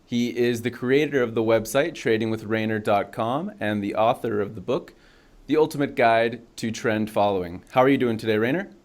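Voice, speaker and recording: A man's voice: mid-range voice, normal speed. A man hosting an interview, asking questions with many ums and repeated words. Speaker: interview host. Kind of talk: casual interview.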